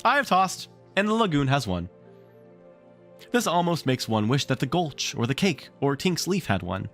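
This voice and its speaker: A man reading a children's story aloud on a livestream in an expressive, theatrical way, with casual asides to the chat. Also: on the high side, normal speed, soft background music.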